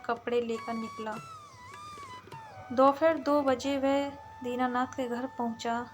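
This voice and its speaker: A woman with a high voice reads a story aloud at a very slow pace. Her delivery is halting, often pausing in the middle of phrases. Light music plays behind the narration. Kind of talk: scripted story narration in Hindi storytelling manner. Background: background music.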